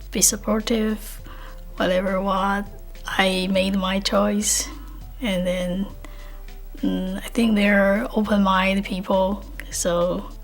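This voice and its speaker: Female student researcher, Taiwanese accent. Plain non-native English, interview delivery, quiet music bed. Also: medium pitch, vocal fry. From Taipei, Taiwan. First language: Chinese. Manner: simple, non-native phrasing. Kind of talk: interview-style personal and project account.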